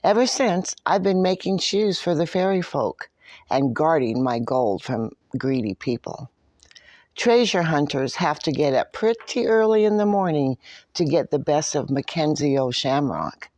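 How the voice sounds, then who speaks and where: A woman's voice: low-pitched, lively intonation, measured pace, a little mellow, no echo, studio quality. A woman voicing a grumpy, boastful little old male leprechaun shoemaker from a storybook, feisty and indignant. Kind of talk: storybook character narration.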